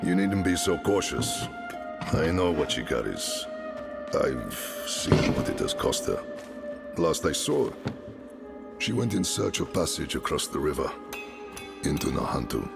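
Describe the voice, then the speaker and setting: An actor playing a kindly, gruff village carpenter and father in a game cinematic, speaking in a thoughtful, halting, warm way. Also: rumbling low, very animated, heavily acted. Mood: solemn. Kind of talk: video game cinematic acting. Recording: music behind the voice.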